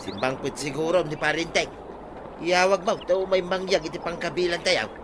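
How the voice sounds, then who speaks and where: A man's voice: fairly high pitch, normal speed, noisy background, close to an everyday voice. A man playing an eager village fisherman in a comedy radio drama, speaking with an Ilocano accent. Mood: pleased. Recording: loud water-like outdoor ambience behind voice.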